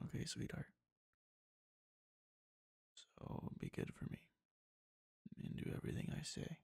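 A man's voice: medium pitch, no echo, studio quality, exaggerated voice. A man playing a whispering possessive captor. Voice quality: hushed, breathy whisper. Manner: slow, coaxing, domineering. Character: possessive, controlling adult male captor. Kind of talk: whispered audio roleplay.

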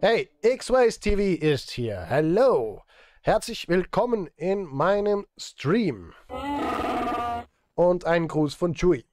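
A man's voice: high-pitched, very animated, slow, darkish tone. A man, chatting casually and playfully on a livestream while he works. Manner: playful, talking to chat and himself. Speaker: music streamer and composer. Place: small indoor room, livestream setup.

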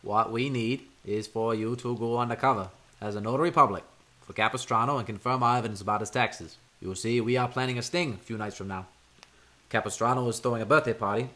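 An actor playing a confident federal agent in an old time radio drama, brisk and authoritative. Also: male voice, medium pitch, pushed and bright, lightly acted. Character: confident male federal agent leading a task force. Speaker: Agent Jack Brewster.

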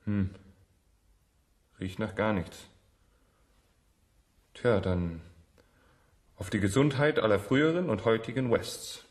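Slow, expressive male test subject. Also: on the low side. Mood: casual, wry. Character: flippant male test subject, turned helpless victim. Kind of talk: German radio drama acting.

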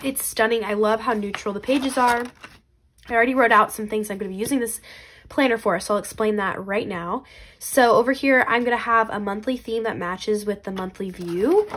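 A woman's voice: medium pitch, normal speed, a bit bright. A young woman, congested from a cold, chatting in a bubbly, casual way while showing her planners. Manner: bubbly, chatty, many fillers. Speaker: planner vlogger. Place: indoors at a desk. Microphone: camera overhead, hands only seen.

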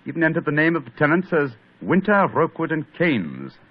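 An actor playing a brisk, authoritative detective in a 1940s radio drama. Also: male voice, fairly high pitch, sing-song. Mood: explaining. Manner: crisp, authoritative. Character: brisk, commanding male detective. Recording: muffled old radio broadcast.

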